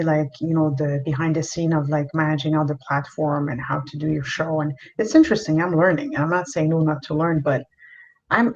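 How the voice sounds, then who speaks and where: A woman chatting casually as a guest on a talk panel. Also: low-pitched. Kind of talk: casual chat. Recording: muffled lo-fi line, clear background noise.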